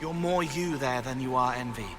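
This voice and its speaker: An actor playing a gentle, odd young male spirit in a video game, soft-spoken, talking in disjointed, cryptic phrases. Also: medium pitch, moderate pace, music in the background, natural voice. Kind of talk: video game character acting.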